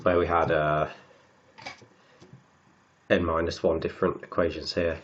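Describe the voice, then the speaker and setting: Croaky man talking through maths. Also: deep voice, little pitch movement, highs cut off, slight background noise. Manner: halting, repeats words while writing. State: has a cold, croaky and sniffly. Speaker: maths tutor. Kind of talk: working through textbook maths aloud.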